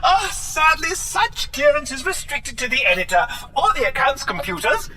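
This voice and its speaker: An actor playing a gushing, overjoyed, servile little security robot in a radio comedy. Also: male voice, very high voice, sing-song, normal speed, loud music behind the voice, rough recording. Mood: gushing joy. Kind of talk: radio comedy drama acting.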